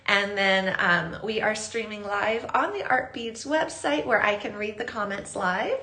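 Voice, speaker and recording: A woman's voice: on the high side, a bit bright. Sniffly woman hosting a live craft stream. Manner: chatty, greets viewers by name.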